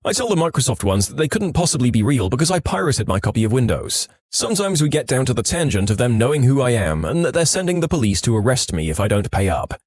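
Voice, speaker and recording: A man reading online stories as a scripted podcast narration, with an animated storytelling delivery, close on the microphone. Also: medium pitch, fast, hardly any pauses, no room sound.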